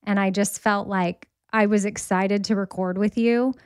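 A woman's voice: medium pitch, fairly even intonation, moderate pace, a little mellow, clean tone, no room sound, clean studio sound. A woman in her forties co-hosting a podcast, chatting casually with a friend, reflective and laughing often. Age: 40s. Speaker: podcast co-host.

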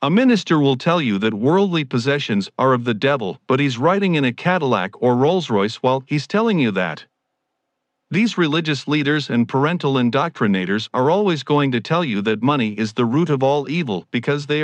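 A man's voice: medium pitch, expressive, normal speed, no echo. A man delivering a scripted motivational monologue in an emphatic, declarative, preaching way into a close microphone. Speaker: motivational speaker.

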